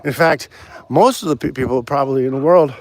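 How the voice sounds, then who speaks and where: A man's voice: mid-range voice, a little fast. A man livestreaming on a walk outdoors, rambling casually with many restarts and answering viewer comments. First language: English. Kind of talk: casual live stream chat.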